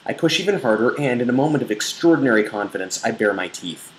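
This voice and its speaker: A man's voice: medium pitch, expressive, fast talker. A man giving a theatrical, mock-sensual dramatic reading of an erotic novel. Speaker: blogger reading aloud. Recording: roomy echo, faint background noise.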